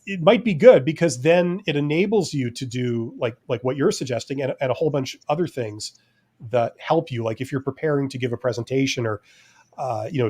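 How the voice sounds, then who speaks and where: A man co-hosting a casual live-stream chat, talking in a relaxed, rambling way with many fillers. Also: medium pitch. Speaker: podcast co-host.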